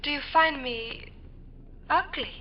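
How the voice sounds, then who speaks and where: A curious, uneasy traveller from the past in a 1950s radio play. Her voice sits fairly high, with a hesitant, questioning delivery. The recording is dull and old. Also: takes it slowly. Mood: wounded. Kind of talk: 1950s radio drama acting.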